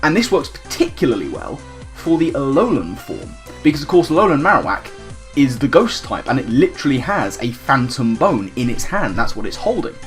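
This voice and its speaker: A man's voice: medium pitch, sing-song, fairly quick. A chatty man you would hear hosting a homemade gaming video series, rambling happily through his puns over background music. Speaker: host of a nickname series. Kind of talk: casual scripted-outline commentary to viewers. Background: music under the voice.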